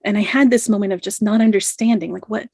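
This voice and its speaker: A woman's voice: medium pitch, fairly even intonation, fast talker, a little mellow, dry recording, studio quality. A middle-aged woman telling a personal story as a podcast guest, laughing often and filling with "you know" and "like". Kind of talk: casual storytelling in an interview.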